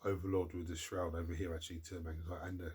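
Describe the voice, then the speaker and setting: A man's voice: sits low, level tone, fast, darkish tone, breathy edge. A man hosting a hobby channel, chatting casually through viewer questions, stuffed up from a cold and rambling as he restarts his sentences. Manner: rambling, self-interrupting, restarts words. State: congested from a cold. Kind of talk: casual chat.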